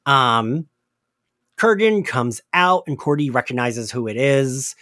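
A man's voice: mid-range voice, takes it slowly, sharp tone, no echo, clean studio sound. A man co-hosting a casual recap podcast, chatty and giggly, peppering his talk with "like". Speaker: podcast co-host.

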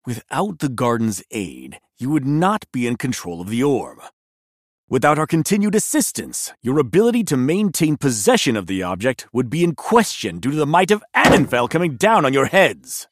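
Proud male villain actor, cold and formal, defiant, mid-low swooping delivery, dry studio drama sound. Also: big pitch swings, normal speed, dry recording. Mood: cold defiance. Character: proud, scornful male envoy of a villainous monk order. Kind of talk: scripted audio drama acting.

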